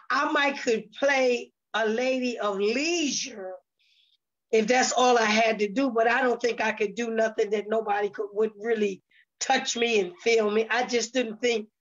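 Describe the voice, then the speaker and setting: A woman's voice: fairly high pitch, steady tone, normal speed. A woman who is a stage play cast member, chatting in an interview and hesitantly thinking aloud. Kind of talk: casual conversational talk. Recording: dull, lo-fi sound.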